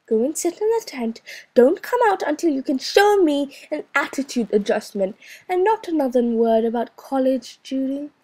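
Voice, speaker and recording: A woman's voice: high-pitched, lively intonation, moderate pace, a little mellow. A woman reading a storybook, voicing a female schoolteacher who gives firm, clipped instructions as her patience wears thin. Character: strained, patient-but-fraying female schoolteacher. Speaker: teacher.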